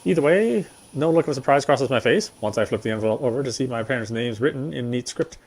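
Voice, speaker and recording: A man reading a visual novel's story narration aloud as a gameplay streamer, in a measured way. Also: mid-range voice, lively intonation, darkish tone, a little hiss or hum.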